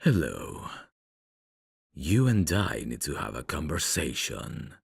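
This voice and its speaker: A man playing a menacing, teasing mafia boss in an ASMR roleplay, speaking in a deliberate, taunting way. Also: a bass voice, very animated, creaky, husky, dry recording, full character voice. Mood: calm, menacing. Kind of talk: ASMR roleplay audio drama.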